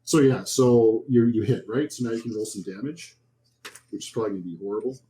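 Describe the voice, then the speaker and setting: A man running a horror tabletop game, describing scenes informally and haltingly as game master. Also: fairly low pitch, mellow, slight background noise, low-quality recording.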